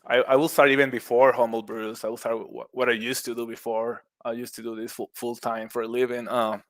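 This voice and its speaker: A man's voice: medium pitch, little pitch movement, fairly quick, no echo. A middle-aged man from Puerto Rico with a Puerto Rican accent, a guest on a casual podcast who talks in a friendly way, laughing often and restarting his words. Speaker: guest, mushroom business owner.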